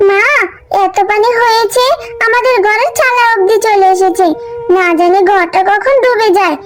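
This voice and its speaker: A woman's voice: very high-pitched, steady tone, moderate pace, faint music behind. A woman voicing an anxious, affectionate little girl in a cartoon, with a pleading, childlike delivery. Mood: fearful, pleading. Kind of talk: Bengali cartoon fairy-tale dialogue.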